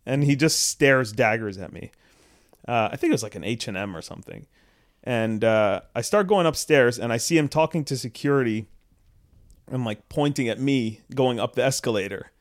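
A young man from Canada, co-hosting a podcast, tells a long story at his own easy pace. His voice sits at a middle pitch, and he laughs readily and acts out the people he quotes. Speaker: podcast co-host. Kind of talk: casual storytelling chat.

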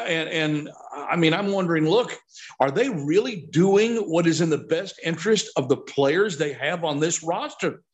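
A middle-aged man talking as a sports pundit on a podcast, emphatic and opinionated, often posing rhetorical questions. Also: high voice.

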